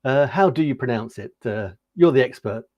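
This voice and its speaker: Middle-aged guy hosting an interview, dark mellow voice, keeps restarting his sentences. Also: medium pitch, expressive, normal speed, no room sound, muffled recording. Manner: hesitant, repeats words, laughs easily. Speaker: interview host. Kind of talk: casual interview chat.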